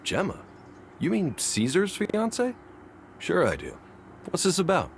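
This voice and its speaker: A man's voice: high voice, huge pitch swings, measured pace, creaky, a little hiss or hum, full character voice. An actor playing a sympathetic, plain-spoken local townsman in a video game. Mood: sympathetic, wistful.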